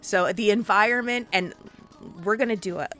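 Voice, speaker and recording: A woman's voice: mid-range voice, moderate pace, fairly bright tone, faint music behind. A woman from Puerto Rico whose first language is Spanish, hosting a live interview in an online game with an upbeat, encouraging manner and lots of fillers. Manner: upbeat, encouraging, laughs often, many fillers. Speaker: interviewer, show host.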